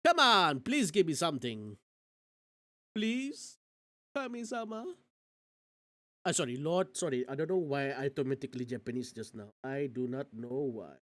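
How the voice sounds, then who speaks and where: Animated woman streamer, dry close sound. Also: rumbling low, moderate pace, long pauses, dry recording. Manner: theatrical reading with exclamations and asides. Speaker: streamer playing a visual novel. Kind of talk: live game reading with casual commentary.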